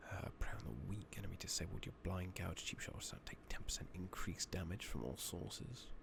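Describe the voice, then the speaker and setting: A man giving a soft-spoken, partly whispered ASMR game playthrough, musing to himself and reading game text aloud over a noisy makeshift microphone. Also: sits low, fairly quick, vocal fry. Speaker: ASMR gaming streamer. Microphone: close makeshift replacement microphone.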